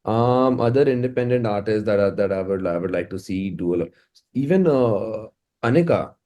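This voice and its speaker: Casting: Indian-accented man, low mellow voice, informal interviewee who doubles back on his words. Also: lively intonation, normal speed. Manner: informal, stammering repetition of words as thoughts form. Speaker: musician and music producer being interviewed. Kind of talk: casual interview chat.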